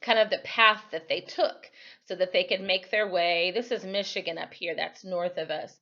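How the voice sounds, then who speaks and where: A woman's voice: lowish voice, steady tone, normal speed, highs cut off. An American woman giving an informal read-aloud lesson as a teacher, explaining as she goes and often correcting herself, recorded on a computer microphone. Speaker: teacher reading a storybook aloud to students.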